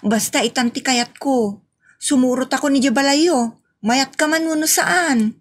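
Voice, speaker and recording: Woman acting a high-voiced, nagging Filipino wife. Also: unhurried. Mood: demanding. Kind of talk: Ilocano audio comedy drama acting.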